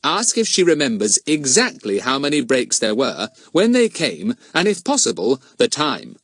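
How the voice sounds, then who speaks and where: A man's voice: medium pitch, huge pitch swings, normal speed, no room sound, clean studio sound, pitched above the performer's own voice. Man playing a confident, cheeky boy detective. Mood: planning, confident.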